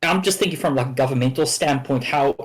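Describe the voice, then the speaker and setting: A man's voice: mid-range voice, fast. Rambling young South African man. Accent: South African with Australian influence. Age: 20s. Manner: rambling, full of fillers like "essentially". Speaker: guest in a live chat. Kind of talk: casual discussion.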